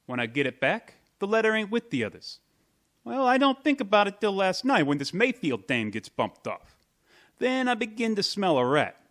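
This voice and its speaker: A man's voice: high-pitched, big pitch swings, normal speed, a bit bright. An actor playing a brash, slangy bodyguard and small-time chiseler in a radio mystery, with cocky wise-guy talk. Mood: sly, explaining.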